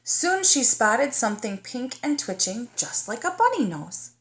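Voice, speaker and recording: A woman's voice: on the high side, very animated, fairly bright tone. Woman reading a storybook to young children. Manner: child-directed, pausing to ask the listener questions. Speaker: teacher reading aloud to kindergartners. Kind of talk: storybook read-aloud narration.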